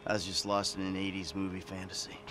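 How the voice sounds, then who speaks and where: An actor playing a jaded, hot-tempered middle-aged American criminal in a video game, with a cynical, profane way of talking. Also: male voice, deep voice, level tone, brisk, noisy background, lightly acted. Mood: cynical, weary. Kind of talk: video game cutscene acting.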